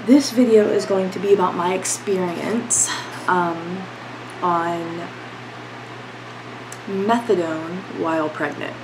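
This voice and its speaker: A woman's voice: fairly low pitch, steady tone, slow pace, long pauses, background noise. A young woman vlogging from her bedroom, congested from a cold, talking candidly with lots of fillers. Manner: rambling, filler-heavy, candid. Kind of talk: casual talk to camera. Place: bedroom at home, indoors.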